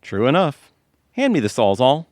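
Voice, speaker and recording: A man's voice: mid-range voice, wildly swooping pitch, moderate pace, heavy background noise. A man playing an easygoing suburban husband in an audio comedy, breezy and pleased with himself.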